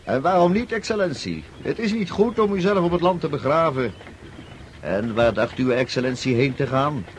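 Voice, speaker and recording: A man's voice: on the high side, animated, normal speed, slight background noise, natural voice. An actor playing a respectful, chatty, folksy coachman serving a nobleman in a radio drama. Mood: deferential.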